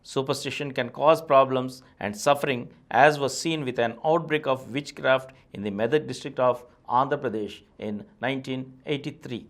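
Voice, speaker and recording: A middle-aged man with an Indian English accent, steadily reading a nonfiction book aloud as the host of his channel, recorded on a lapel mic in a small studio. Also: medium pitch. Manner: steady, deliberate reading, eyes on the page. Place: small studio with a painted backdrop and plants.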